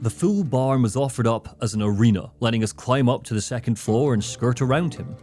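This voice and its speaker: A man narrating a scripted video essay as its host, with dramatic, deliberate phrasing. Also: medium pitch, darkish tone. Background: faint background music.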